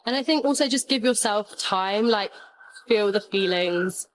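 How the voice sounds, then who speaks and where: A woman's voice: mid-range voice, measured pace, slight background noise, rough recording. A young woman student raised in England, talking casually in an interview with lots of "like" and "you know". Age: young adult.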